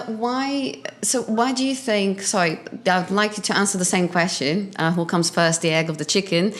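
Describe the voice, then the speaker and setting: A woman hosting an interview, a native Portuguese speaker who pauses often with ums while finding her words. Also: medium pitch. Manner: hesitant, many ums while searching for words. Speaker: interview host. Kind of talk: video call interview.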